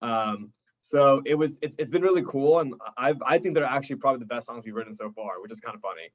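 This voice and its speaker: A man's voice: medium pitch, fast, lo-fi sound. A young man in a band chatting casually in an interview, informal and enthusiastic, sprinkling his talk with "like". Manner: enthusiastic, informal, heavy use of "like". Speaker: indie rock band member being interviewed. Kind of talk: casual chat.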